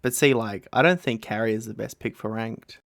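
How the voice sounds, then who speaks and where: A man's voice: lowish voice, gravelly, fairly bright tone, dry recording. Easygoing man, dry close sound. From Australia. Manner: easygoing, laughs a lot, quick affirmations. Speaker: podcast guest. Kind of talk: casual gaming chat.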